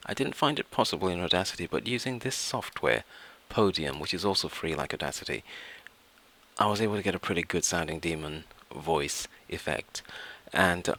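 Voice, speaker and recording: A man presenting an informal software tutorial, explaining things step by step with measured asides. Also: deep voice, expressive.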